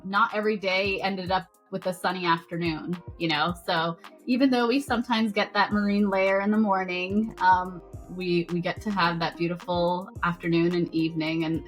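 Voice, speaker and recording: A woman's voice: on the low side, a bit bright. A woman who grew up in Canada, a photographer guest on a podcast, explaining her work in a thoughtful, earnest way. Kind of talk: casual interview chat.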